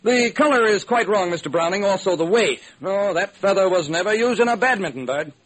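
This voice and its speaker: A man's voice: sits high, expressive, a little fast, fairly bright tone. An actor playing a fussy, hesitant male club manager with prim, precise speech in an old-time radio drama. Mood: prim, helpful. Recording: noisy old radio recording.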